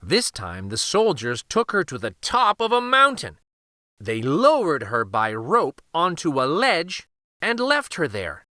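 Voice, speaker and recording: Bright, highly animated male storyteller. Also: no echo, studio quality. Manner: expressive, deliberate, theatrical. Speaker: children's storyteller. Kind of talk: dramatic picture-book read-aloud.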